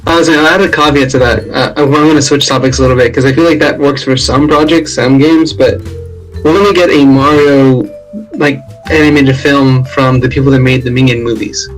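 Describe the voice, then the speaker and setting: A man's voice: medium pitch, steady tone, a little fast, faint music behind, rough recording. A man co-hosting a podcast in casual chat, with a tentative, hedging way of talking. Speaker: podcast co-host.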